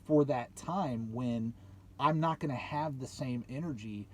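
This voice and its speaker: Male, late 20s, motivational vlogger, mid-pitched, emphatic and repetitive, faint background noise. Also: unhurried, darkish tone. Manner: emphatic, repeats points, rhetorical questions. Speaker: YouTube channel host. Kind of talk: motivational vlog talk to viewers.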